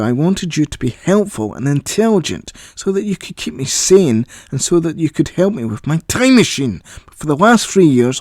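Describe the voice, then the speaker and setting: A man's voice: fairly high pitch, a little mellow, no echo, clean studio sound, voice pushed up. A man voicing a proud young boy inventor, easily irritated, in an audio story.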